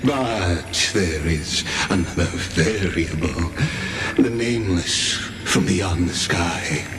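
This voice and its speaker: An actor playing a calm, menacing schemer in a video game, speaking with measured, theatrical and ominous politeness. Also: male voice, low voice. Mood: ominous, composed. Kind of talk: video game villain monologue. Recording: loud music behind the voice. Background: game music.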